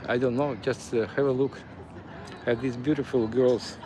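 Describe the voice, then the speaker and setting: A man's voice: mid-range voice, fairly even intonation, moderate pace. A man from eastern Ukraine with a Ukrainian accent, whose first language was Russian, giving a walking tour stream with many fillers and restarts. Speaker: city walk streamer. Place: city streets outdoors. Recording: faint street noise outdoors. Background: faint street and traffic noise.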